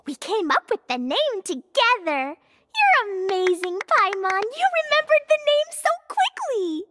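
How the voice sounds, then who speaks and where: A woman's voice: very animated, measured pace, very noisy, heavily acted. A woman voicing a cheerful little girl in a video game, childlike, innocent and eager. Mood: admiring. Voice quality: childlike, high.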